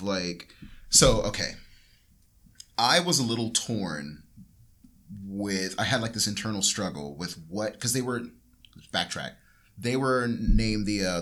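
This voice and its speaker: A man's voice: deep voice, bright, forceful tone. A young man hosting a casual podcast discussion, rambling and correcting himself as he talks. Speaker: podcast host. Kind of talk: casual panel chat.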